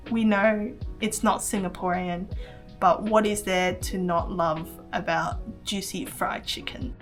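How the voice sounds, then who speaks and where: A woman's voice: mid-range voice, steady tone, measured pace, soft background music. A young woman with an American accent, reading a scripted travel vlog voice-over and recommending meals one by one. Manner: earnest, recommending, reads list items. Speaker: travel vlogger.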